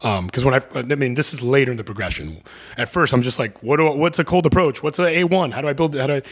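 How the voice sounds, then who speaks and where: A man in his thirties from the Miami area, a podcast guest with a deep voice, talking in a rambling way full of fillers and restarts. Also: quick pace. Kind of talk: casual podcast conversation.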